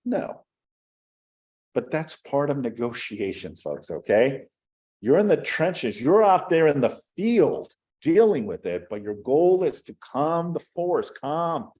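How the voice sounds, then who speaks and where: A man who works as a real estate broker, giving an energetic short talk to an audience and often turning to them with "right?" and "folks.". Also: mid-range voice. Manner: animated, addresses the crowd with "folks" and "right?".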